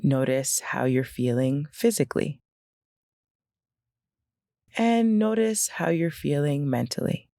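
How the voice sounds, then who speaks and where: Female meditation guide: gentle and very slow, with noticeable vocal fry, recorded close and dry. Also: deep voice, animated, no echo. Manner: gentle, unhurried, instructional with pauses.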